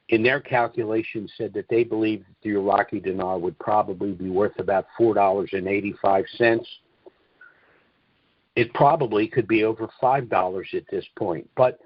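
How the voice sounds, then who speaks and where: A man giving an informal, opinionated monologue on a conference call, speaking emphatically and deliberately, repeating phrases for weight. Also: lowish voice, breathy edge, no echo. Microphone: conference call line. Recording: dull, dry, lo-fi conference call audio.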